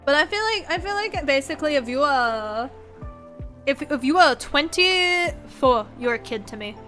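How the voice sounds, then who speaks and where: A woman's voice: sits high, expressive, normal speed, bright, forceful tone. A woman in her twenties chatting casually as a livestreamer, rambling and swearing freely, acting out little quotes as she goes. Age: 20s. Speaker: streamer, VTuber host. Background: background music.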